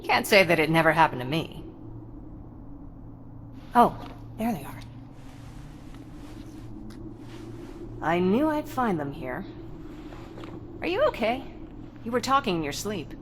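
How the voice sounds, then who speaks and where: A friendly female fighter in a game cutscene. Her voice is slightly low and informal, a bit teasing, with noticeable ambience behind it. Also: very animated, a little slow, pauses often. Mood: teasing, caring. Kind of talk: video game cutscene acting. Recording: clear ambient noise behind.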